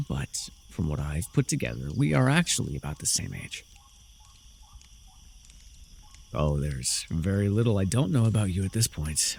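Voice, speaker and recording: A man playing a smug, sardonic young dark sorcerer in a fantasy ASMR roleplay, theatrical and teasing, with dry, drawling mockery. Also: on the low side, gravelly, breathy edge, full character voice. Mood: smug, knowing.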